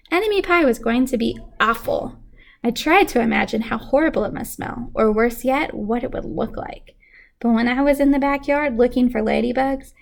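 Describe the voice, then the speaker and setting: High-voiced woman reading to children, slightly creaky. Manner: animated storytelling, pausing to question young listeners. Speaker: children's story reader. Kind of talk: expressive picture-book read-aloud for children.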